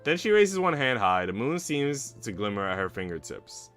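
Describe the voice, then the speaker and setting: A man's voice: mid-range voice, big pitch swings, moderate pace, a bit bright, soft background music. A man streaming, reading a visual novel aloud and chatting casually with his audience. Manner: informal, slangy when chatting.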